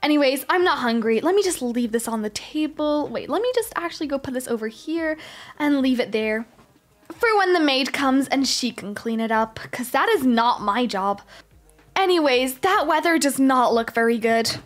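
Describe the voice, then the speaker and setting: Spoiled rich schoolgirl voiced by a woman, high pitch, exasperated, sighing, complaining, game roleplay. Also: lively intonation, moderate pace. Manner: sighing, complaining, talking to herself.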